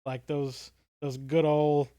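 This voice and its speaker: Jokey man with a slightly higher voice. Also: flat delivery, slow, dark tone, smooth, no echo, studio quality. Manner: jokey, tongue-in-cheek, rambling. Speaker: podcast co-host. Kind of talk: casual livestream chat.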